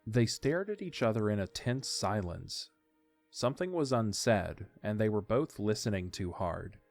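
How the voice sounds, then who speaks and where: A man's voice: lowish voice, lively intonation, unhurried, a little mellow. A man reading scripted story narration as the narrator of an audio drama. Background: faint music.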